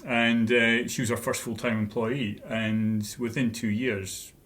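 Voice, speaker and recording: A man's voice: medium pitch, level tone, measured pace, a bit bright. A Scottish man in his fifties, a guest author chatting casually and telling anecdotes with easy laughs. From Scotland. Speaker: guest author and leadership trainer. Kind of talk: casual interview conversation.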